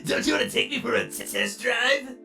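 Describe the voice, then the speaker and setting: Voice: mid-range voice, brisk, vocal fry, bright, forceful tone, soft background music, pitched above the performer's own voice. An actor voicing a flirty, manic robot jester from a cartoon, teasing and suggestive in a theatrical way.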